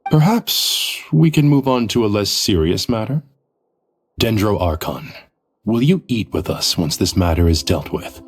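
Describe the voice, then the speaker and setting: A deep male voice plays a polite royal advisor in a fantasy game. His intonation moves widely while staying formal, earnest and deferential. Soft music sits underneath. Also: animated, measured pace, slightly rough. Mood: warm invitation. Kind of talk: scripted video game dialogue.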